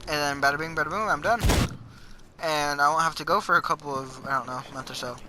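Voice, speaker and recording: A man's voice: fairly high pitch, fast. A young man chatting casually in a rambling, sweary storytelling way, as if to a friend while gaming. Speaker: gamer telling stories to a friend. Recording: noisy gaming voice chat with clicks.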